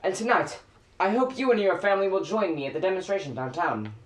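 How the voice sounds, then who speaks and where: A performer playing a gruff but earnest, commanding boss in an amateur radio play, speaking in an emphatic, declamatory way. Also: mid-range voice, lively intonation, fast talker, roomy sound, lightly acted, low-quality recording. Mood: earnest, resolute.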